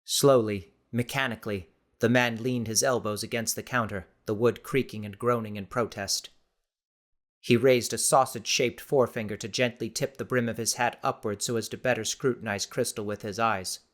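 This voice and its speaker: A man's voice: mid-range voice, fairly even intonation, moderate pace. A man reading scripted, dramatic story narration as an audiobook narrator.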